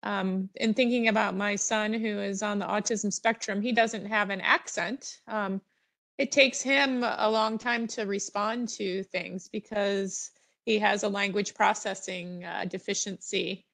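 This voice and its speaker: Earnest older woman with a gravelly edge. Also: medium pitch, fairly even intonation, unhurried, a bit bright. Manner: earnest, encouraging. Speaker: panelist. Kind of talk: conference panel comment. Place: office on video call. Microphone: headset.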